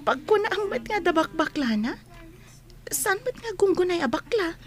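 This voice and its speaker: A woman's voice: very high voice, sing-song, moderate pace. A woman with an Ilocano accent playing a kind, upbeat, frank young student in a radio drama. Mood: frank, teasing. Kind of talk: Filipino radio drama acting.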